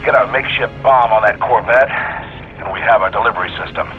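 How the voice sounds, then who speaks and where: A man's voice: on the low side, fast talker, phone-quality sound, lightly acted. Man as a commanding military colonel over music. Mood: commanding, businesslike. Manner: commanding, businesslike. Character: authoritative male colonel commanding a space operation. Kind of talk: military briefing over radio.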